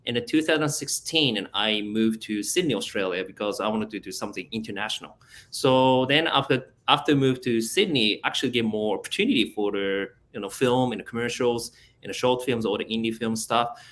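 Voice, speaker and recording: A man from Japan with a Japanese accent, an actor and martial artist answering interview questions in a halting way while he searches for words. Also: medium pitch, fairly bright tone.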